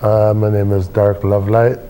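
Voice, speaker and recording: A man in his twenties giving deliberate, philosophical answers as an interview guest. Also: lowish voice, level tone, warm tone, poor audio. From born in Jamaica. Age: 20s. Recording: faint background noise.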